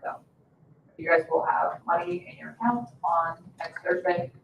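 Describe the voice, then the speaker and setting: Guy explaining stuff to a board, gravelly fry, distant mic with faint noise. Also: on the high side, moderate pace, echoey room, highs cut off. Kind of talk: informal explanatory presentation. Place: indoor public meeting room.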